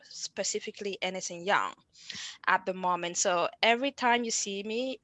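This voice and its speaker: A woman's voice: mid-range voice, fairly bright tone, no room sound. Woman from Cameroon, closely recorded podcast guest. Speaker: podcast guest, founder and management consultant. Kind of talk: conversational interview answers.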